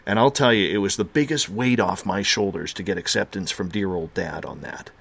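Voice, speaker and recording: A man's voice: low-pitched, fairly quick, vocal fry, breathy edge. A man hosting a radio talk show, chatting casually and reflectively, sharing personal anecdotes. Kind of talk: casual conversational interview.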